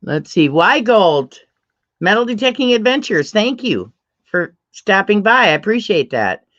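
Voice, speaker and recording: Middle-aged woman host, deep voice, unhurried and chatty, slightly dull lo-fi stream sound. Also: sing-song, a bit bright. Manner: chatty, laughs often, reads chat comments aloud. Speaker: livestream host. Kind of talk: casual livestream chat.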